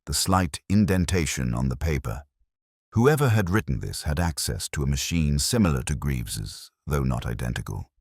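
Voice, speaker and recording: Very deep-voiced brooding detective played by a man. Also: slightly rough, no echo, studio quality, heavily acted. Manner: measured, brooding, deliberate literary phrasing. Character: reflective, world-weary English male police detective. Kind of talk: first-person scripted mystery narration.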